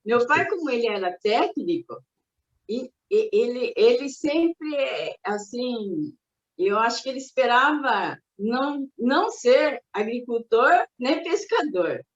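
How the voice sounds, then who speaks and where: A woman's voice: fairly high pitch, very animated, slow pace. Reflective older Japanese-born woman, roomy sound. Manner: reflective, hesitant, with many restarts and fillers. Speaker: interviewee. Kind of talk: recalling her family's immigration. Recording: roomy, dull recording.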